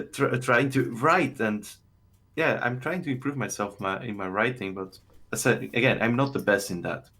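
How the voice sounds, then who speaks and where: This is a man whose first language is German, calling into a live stream. He speaks English slowly and hesitantly, often pausing to find the right word. His pitch is in an ordinary middle range. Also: fairly bright tone. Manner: hesitant, searching for words. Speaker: guest on call. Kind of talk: casual chat.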